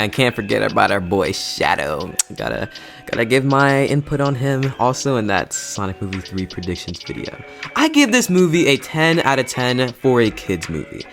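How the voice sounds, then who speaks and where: A man's voice: mid-range voice, fairly bright tone. A young man hosting a casual video review in an enthusiastic, slangy, emphatic way. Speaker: YouTube host and film reviewer.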